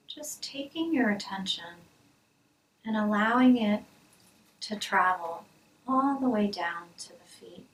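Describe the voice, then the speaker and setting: Woman, meditation teacher, gentle measured delivery, breathy near-whisper endings, roomy echo, faint background noise. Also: mid-range voice. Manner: soft, soothing, measured with pauses. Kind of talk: guided body scan meditation. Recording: roomy, distant mic, hissy background noise.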